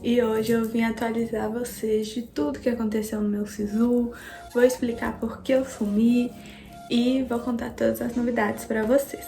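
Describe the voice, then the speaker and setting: A woman's voice: on the high side, level tone, normal speed, mellow. A young woman vlogger chatting casually to her viewers, with frequent fillers. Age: young adult. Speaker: student vlogger. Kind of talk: casual vlog chat. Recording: echoey room, faint music.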